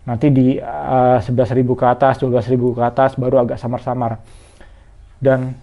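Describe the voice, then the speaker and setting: A man's voice: medium pitch, flat delivery, moderate pace, highs cut off. A man talks viewers through a casual product review in a conversational, explanatory way. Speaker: motorcycle gear reviewer.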